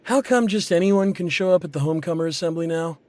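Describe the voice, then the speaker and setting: Actor as a hot-headed, high-pitched pirate man. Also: fast talker, a little mellow, close to an everyday voice. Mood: scornful, angry. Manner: brash, scornful. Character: hot-headed, resentful male tavern-going pirate. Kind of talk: English anime game voice acting.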